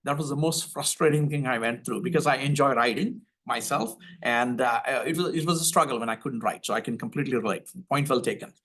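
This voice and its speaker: A man's voice: mid-range voice, fast, hardly any pauses, fairly bright tone, husky. An older man with an Indian accent, a panel expert answering audience questions earnestly, often restarting his sentences. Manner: earnest, repeats words when starting, personal anecdotes.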